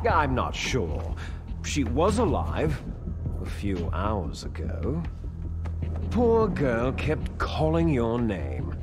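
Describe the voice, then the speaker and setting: An actor playing a menacing, proud, fatalistic villain soldier who lectures with theatrical contempt in a video game cutscene. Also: male voice, mid-range voice, huge pitch swings, extremely slow, over music, full character voice. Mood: taunting, cold.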